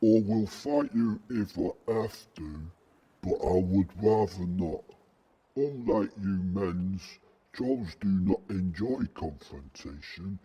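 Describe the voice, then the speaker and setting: A man voicing a gigantic, peaceable troll in an audio drama, husky and ponderous, with broken grammar and a wariness of violent humans. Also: low voice, lively intonation, takes it slowly, muffled recording, heavily acted. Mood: grave, reluctant. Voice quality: husky, dark voice.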